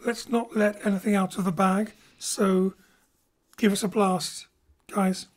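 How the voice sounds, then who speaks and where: A woman hosts an informal theatre talk as its director. Her voice sits at an average pitch, and she speaks conversationally to guests and listeners. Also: fairly even intonation, measured pace. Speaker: director and host. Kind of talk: hosted post-show chat.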